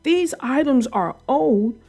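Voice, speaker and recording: A woman's voice: high-pitched, expressive, takes it slowly, warm tone, faint music behind, full character voice. Woman acting a fretful big male steam engine. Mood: concerned.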